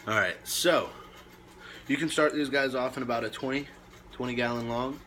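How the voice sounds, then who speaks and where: A man presenting reptile care tips in a casual, laid-back way with short emphatic phrases, now and then coughing or clearing his throat. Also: mid-range voice, normal speed, sharp tone, a little hiss or hum. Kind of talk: casual informal talk to camera.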